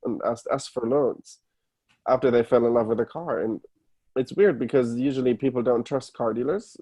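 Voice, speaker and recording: A man with a German accent speaks as an interview guest. His voice is soft-edged and medium in pitch, and he explains things step by step in casual talk, using everyday examples. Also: normal speed, slightly rough. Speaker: podcast guest, startup founder. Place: remote call.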